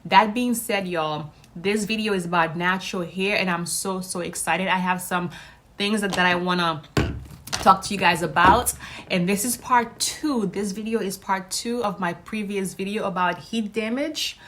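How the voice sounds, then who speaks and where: Female vlog host, native Haitian Creole speaker, mid-pitched voice, upbeat and informal, addressing her audience directly. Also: moderate pace, fairly bright tone. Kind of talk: casual chat to viewers.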